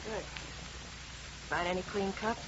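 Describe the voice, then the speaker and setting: Actress as a brassy young wife, muffled old radio. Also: female voice, mid-range voice, steady tone, takes it slowly, background noise, natural voice. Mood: offhand, cool. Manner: offhand, curt, bossy. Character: brassy, blasé young wife, cool and demanding. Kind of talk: 1950s radio detective drama acting.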